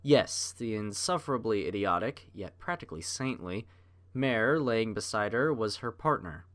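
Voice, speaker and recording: A man narrating a scripted audiobook in a theatrical, measured storytelling manner. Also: on the low side, lively intonation, a bit bright.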